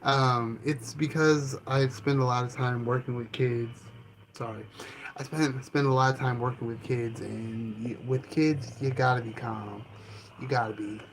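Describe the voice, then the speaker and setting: Calm-voiced man with hesitant ums. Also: medium pitch, poor audio. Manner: calm, unhurried, many ums and restarts. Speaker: channel host and author. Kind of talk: casual self-interview answering questions. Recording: noticeable background ambience noise.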